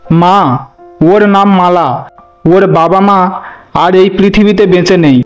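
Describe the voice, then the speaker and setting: Young cartoon hero, voiced by a man: high voice, brave, earnest, protective, faint music underneath. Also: fairly even intonation, unhurried, smooth, dull audio. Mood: pleading, resolute. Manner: earnest, resolute. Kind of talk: Bengali cartoon voice acting.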